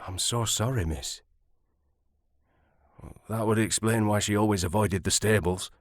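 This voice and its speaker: Actor as gentle stableman, dry sound. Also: lowish voice, measured pace, no echo, natural voice. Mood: sympathetic. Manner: plain-spoken, hesitant, respectful. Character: gentle, deferential stableman on a moorland estate. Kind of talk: period audio drama acting.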